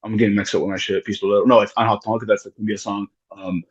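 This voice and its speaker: A man with a lowish, husky voice talks loosely and casually, circling back on himself with restarts and swearing. The sound is dull and lo-fi. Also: level tone, racing. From United States. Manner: rambling, frequent restarts and swearing. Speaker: musician guest. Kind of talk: casual podcast conversation.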